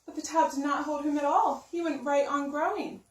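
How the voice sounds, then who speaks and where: A woman's voice: high-pitched, normal speed. A woman narrating a children's storybook expressively, with simple, emphatic phrasing for young listeners. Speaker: story-time reader. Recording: roomy sound, distant microphone.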